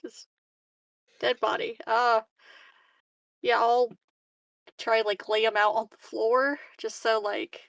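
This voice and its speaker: Slow, creaky-voiced woman, casually gaming. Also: fairly high pitch, little pitch movement, a bit bright, dry recording. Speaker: tabletop game player. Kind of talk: casual chat, improvised play.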